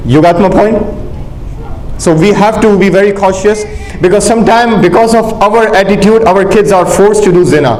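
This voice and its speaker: High-voiced imam. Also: male voice, normal speed, darkish tone, slight background noise, poor audio. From Pakistan. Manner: conversational, humorous examples, frequent restarts. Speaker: imam leading a marriage workshop. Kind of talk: informal religious lecture. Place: mosque hall.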